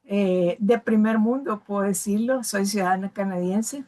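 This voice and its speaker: A woman from El Salvador giving a personal testimonial in a measured way, often tagging her phrases with a questioning aside. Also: medium pitch, warm tone.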